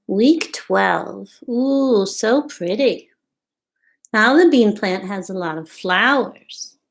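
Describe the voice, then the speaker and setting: A woman's voice: medium pitch, animated, slow pace, gravelly. A woman in her thirties reading aloud and explaining things simply and expressively for young children, as a storytime host. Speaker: storytime host at a community farm. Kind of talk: children's read-aloud and show-and-tell. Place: small room at home, indoors.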